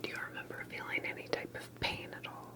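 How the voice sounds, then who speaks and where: A woman speaking in a soft, breathy whisper, gently and carefully asking questions in an ASMR interview roleplay. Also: fast talker.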